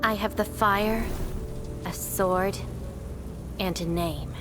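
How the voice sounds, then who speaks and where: A woman voicing an aloof young female swordfighter in a video game, teasing and quietly melancholy, with hesitant pauses. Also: medium pitch, music in the background, lightly acted. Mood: gentle, reflective.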